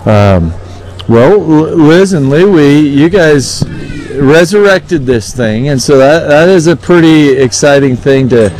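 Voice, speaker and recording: A man hosting a casual live broadcast interview, speaking in a folksy, halting, conversational way. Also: a little high, lively intonation, darkish tone, low-quality recording. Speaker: radio show host and interviewer.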